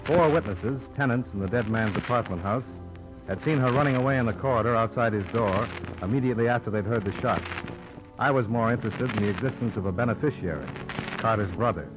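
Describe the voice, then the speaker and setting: A man's voice: lowish voice, natural voice, low-quality recording. A man playing a tough, wry American insurance investigator in a 1950s radio detective drama, acting and narrating.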